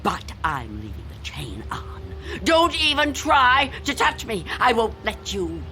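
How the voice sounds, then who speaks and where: An actress playing a frightened, suspicious old recluse in a radio drama, distrustful and pleading. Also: female voice, a little high, big pitch swings, a little slow, noisy background. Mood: wary.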